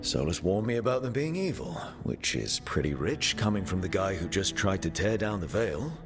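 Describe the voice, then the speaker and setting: A low-voiced game hero leader, steady n matter-of-fact, music behind him. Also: male voice, moderate pace. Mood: grave. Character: composed male adventurer leader, steady and pragmatic. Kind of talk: video game cutscene acting. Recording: game dialogue under clear background music.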